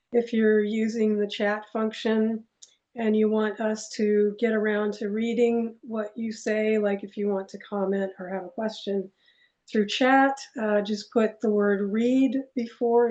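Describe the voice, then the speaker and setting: A woman's voice: medium pitch, a little mellow. Woman host on muffled call audio. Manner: loose, chatty, many fillers. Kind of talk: hosting an online talk. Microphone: video call.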